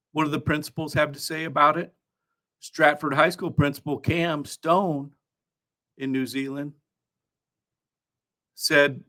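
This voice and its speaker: A man hosting a news countdown, part reading and part ad-libbing his commentary in a halting way, with wry asides. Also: a little high, sharp tone. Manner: halting, with frequent throat clearing and wry asides.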